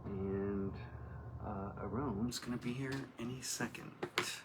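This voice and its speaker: A man's voice: lowish voice, steady tone, slow, loud music behind the voice. A man hosting a casual livestream interview on a tablet, talking to his audience while he sets up the call. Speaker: show host. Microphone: video call on a tablet.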